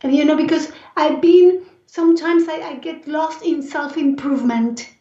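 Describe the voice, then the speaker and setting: A woman from Argentina with an Argentine Spanish accent and a raspy voice, speaking earnestly and reflectively as an interview guest. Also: high-pitched, steady tone, moderate pace, warm tone. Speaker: interview guest, life and movement coach. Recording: roomy echo, distant mic, dull sound.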